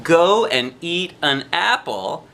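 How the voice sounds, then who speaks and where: A man reading a children's storybook aloud in an expressive way, with drawn-out emphasis on key phrases. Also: a little high, gravelly, slight background noise. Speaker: storybook reader, a dad.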